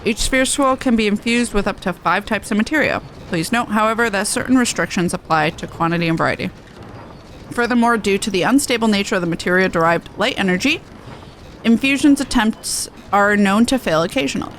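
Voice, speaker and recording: A woman's voice: mid-range voice, a little fast, fairly bright tone, slight background noise, rough recording. A woman game streamer chatting casually and reading quest text aloud.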